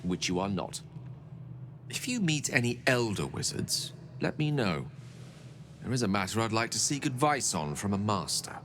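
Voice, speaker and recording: A man's voice: on the low side, very animated, normal speed, a bit bright, a little hiss or hum. A man playing a courteous, earnest, bookish wizard in a fantasy game, speaking with polite formality. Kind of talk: fantasy video game acting.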